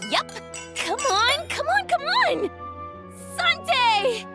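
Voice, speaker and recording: Very high, animated young game heroine. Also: female voice, extremely slow, full character voice. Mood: cheerful, eager. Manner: expressive, exclamatory. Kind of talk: animated video game voice acting. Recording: music under the voice.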